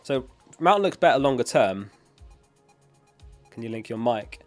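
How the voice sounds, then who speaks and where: A man's voice: mid-range voice, lively intonation, unhurried, faint music behind. A man chatting casually as a livestream host, reading out and answering viewer questions in a matter-of-fact way, his voice starting to give out from being unwell. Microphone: close dynamic studio microphone.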